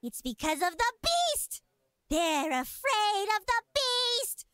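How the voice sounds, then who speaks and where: A sweet young innkeeper's daughter in a video game. Her very high, childlike voice is bright and animated, full of dramatic eagerness. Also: slow, no echo, studio quality, exaggerated voice. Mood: spooky excitement. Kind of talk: video game character acting.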